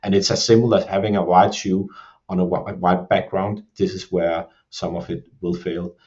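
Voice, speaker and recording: A Danish man with a Danish accent, explaining production work in a measured podcast discussion and often saying "you can say". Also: low voice, level tone, darkish tone, lo-fi sound. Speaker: creative production lead.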